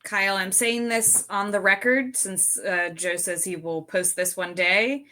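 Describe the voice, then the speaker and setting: A woman's voice: mid-range voice, a little slow, sharp tone. A woman chatting casually as a panelist, with an emphatic, mock-solemn manner. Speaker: panelist in a script reading. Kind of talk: casual joking chat.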